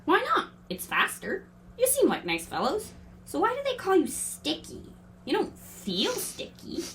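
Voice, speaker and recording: A woman playing a brisk, confident, quirky girl in a family audio drama, breezy and matter-of-fact. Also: very high voice, wildly swooping pitch, a bit bright, slight background noise, full character voice. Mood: breezy, chatty.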